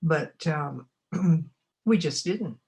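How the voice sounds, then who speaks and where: Old lady in her 80s from montana, slow thoughtful storytelling, stops a lot to find words. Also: low-pitched, animated, darkish tone. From Montana, United States. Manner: unhurried, reflective, often pausing to find words. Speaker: oral history interviewee. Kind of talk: oral history recollection.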